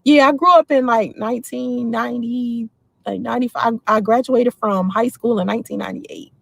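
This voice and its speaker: A woman in her forties from the D.C. area talks straight to her livestream viewers and answers their comments without holding back. Her voice sits a little high. The sound is dry and close to the mic. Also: fairly even intonation, normal speed, vocal fry, dry recording. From Washington, D.C. area. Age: 40s. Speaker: livestream host. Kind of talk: casual livestream chat.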